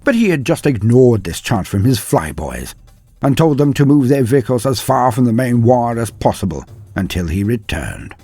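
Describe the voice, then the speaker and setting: A man's voice: medium pitch, big pitch swings, normal speed, mellow, slightly rough, no room sound, faint music behind. A man narrating a comedic mock-epic fantasy tale in a theatrical, wry, mock-solemn way, with dramatic emphasis and dry asides. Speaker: storyteller and channel narrator.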